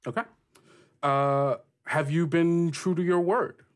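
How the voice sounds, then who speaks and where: A man acting as game master, narrating and questioning players in a tabletop role-playing game with deliberate, halting phrasing. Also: on the high side, fairly even intonation.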